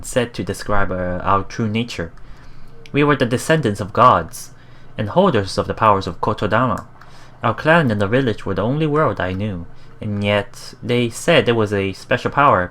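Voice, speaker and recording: A let's play host reading a visual novel aloud in a halting way, with casual, musing commentary. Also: medium pitch, fairly bright tone. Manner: halting, musing, repeats phrases.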